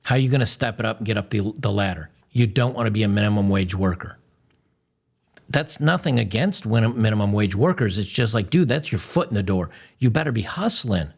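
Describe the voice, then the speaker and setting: A man's voice: fairly low pitch, animated, a little fast, vocal fry, darkish tone. A man hosting, giving blunt, mocking commentary on video clips. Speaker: host.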